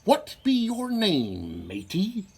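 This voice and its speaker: Storybook pirate captain, played by a man: gruff, commanding, slow bellowing delivery with big swoops in pitch. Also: huge pitch swings, heavily acted. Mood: hearty, commanding. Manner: bellowing, drawn-out pirate talk.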